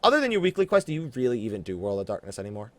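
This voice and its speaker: A man hosting a casual gaming podcast, chatting in an animated, teasing way with a co-host. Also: mid-range voice, racing, no echo.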